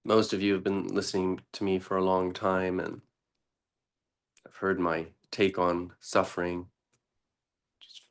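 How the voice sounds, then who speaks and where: A man teaching meditation in an unscripted, deliberate way, pausing often between short phrases. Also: deep voice, gravelly, fairly bright tone.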